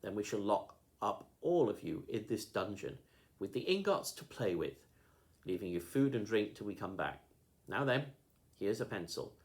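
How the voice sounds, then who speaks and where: A man's voice: fairly low pitch, big pitch swings, normal speed. A man reading a storybook, voicing a cold, threatening adult male kidnapper. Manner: cold, commanding.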